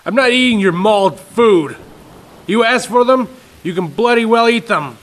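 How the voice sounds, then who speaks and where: A man's voice: sits high, animated, moderate pace, pitched above the performer's own voice. A man playing a harried single father in a horror story reading, worn down, exasperated and emotional. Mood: angry, strained.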